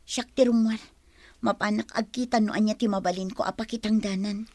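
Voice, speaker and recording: A woman's voice: mid-range voice, steady tone, brisk, darkish tone, dry recording, natural voice. A woman with a Filipino accent playing a remorseful, struggling young daughter and mother in a radio drama. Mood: worried, subdued. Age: young adult. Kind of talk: Ilocano radio drama acting.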